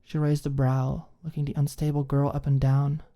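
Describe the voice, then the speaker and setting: A woman's voice: sits low, little pitch movement, moderate pace, warm tone. A woman narrating a scripted story in the style of an ASMR audiobook.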